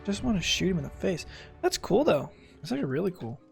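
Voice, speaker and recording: Creaky-voiced man giving wry game commentary. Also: high-pitched, sing-song, fast talker. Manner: wry, sarcastic. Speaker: gamer streaming a playthrough. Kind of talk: casual game commentary, muttering and reading stats aloud. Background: game music.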